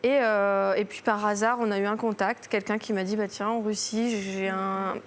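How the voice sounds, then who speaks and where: A woman in her thirties, a talk show guest, telling a personal story in halting sentences that restart and trail off. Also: mid-range voice, flat delivery, a little hiss or hum. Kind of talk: emotional personal testimony. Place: television studio.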